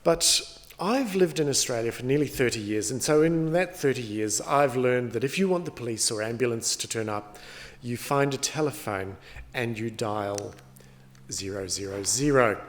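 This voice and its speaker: A man's voice: mid-range voice, normal speed. A middle-aged man, a pastor giving a sermon in a conversational, storytelling way. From New Zealand.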